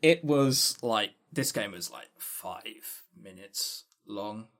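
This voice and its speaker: A man's voice: on the low side, animated, slow pace, fairly bright tone. A man co-hosting a podcast in casual review chat, dryly sarcastic and often repeating words as he starts a sentence. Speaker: podcast co-host.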